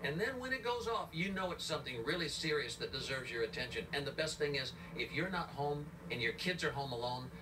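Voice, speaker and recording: A man's voice: on the high side, lively intonation, a little fast, few pauses. A middle-aged man explaining a product as a guest in a live TV interview. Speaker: company representative, interview guest. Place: outdoors in a store parking lot. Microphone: reporter's handheld mic, heard off a filmed TV screen. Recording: distant TV speaker re-recorded, clear noise.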